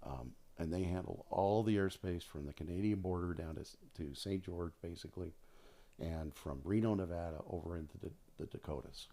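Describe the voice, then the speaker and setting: Deep-voiced older American man telling stories. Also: moderate pace, warm tone. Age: 60s. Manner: emphatic, repeats phrases for stress. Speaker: podcast guest, retired air traffic controller. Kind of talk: casual conversational storytelling.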